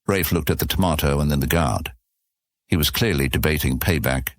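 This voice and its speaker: A man's voice: a bass voice, a little fast, dry recording, clean studio sound. A man narrating a scripted fantasy story as the narrator of an audio serial.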